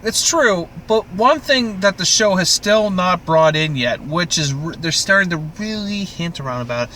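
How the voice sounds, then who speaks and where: High, bright-voiced man ranting casually. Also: animated, normal speed, a little hiss or hum, low-quality recording. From Canada. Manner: ranting, exasperated, sweary. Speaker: podcast co-host. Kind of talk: casual TV recap chat.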